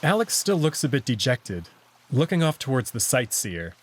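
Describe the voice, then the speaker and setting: A man's voice: medium pitch, very animated, normal speed. A man reading first-person story narration aloud in a scripted visual novel style.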